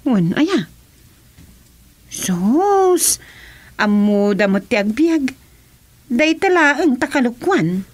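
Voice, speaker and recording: An actress with an Ilocano Filipino accent playing a nosy, exclaiming young village wife in a radio comedy drama. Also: female voice, fairly high pitch, big pitch swings, very slow, pauses often, exaggerated voice. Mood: incredulous. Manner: exclamatory, reactive.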